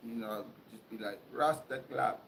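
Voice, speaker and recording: A man's voice: on the low side, moderate pace, gravelly, fairly bright tone, a little hiss or hum. A young man hosting a casual chat, talking loosely and profanely with lots of rambling. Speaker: podcast host.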